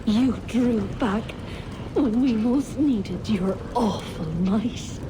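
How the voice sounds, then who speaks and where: Vengeful druid priestess, slow hissy curses, highish voice, noisy game audio. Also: female voice. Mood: menacing curse. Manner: hissing, menacing, slow. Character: vengeful woman cult leader cursing her foe. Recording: game cutscene with clear background noise.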